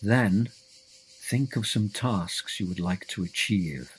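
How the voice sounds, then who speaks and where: A man narrating a scripted guided visualization in a measured, deliberate way, giving instructions in short phrases. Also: fairly low pitch, a little hiss or hum, poor audio.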